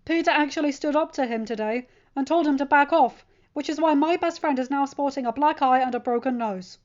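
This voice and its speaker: Audiobook role: loyal schoolboy sidekick, bold, indignant, high-pitched, voiced by a woman. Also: brisk, muffled recording, close to an everyday voice. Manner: bold, emphatic. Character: loyal teenage boy friend, emboldened, indignant.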